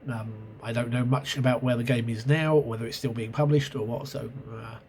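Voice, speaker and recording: A man's voice: mid-range voice, steady tone, brisk, gravelly, a little mellow. A man with a stuffy, sore-throated voice talks through his memories of an old tabletop game, hesitant and full of ums and self-corrections. His voice sits in a middle range for a man. A faint hiss of background noise hangs under the recording. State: congested with a cold and sore throat. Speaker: channel host reviewing role-playing games. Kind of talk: casual spoken video review. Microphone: camera mic.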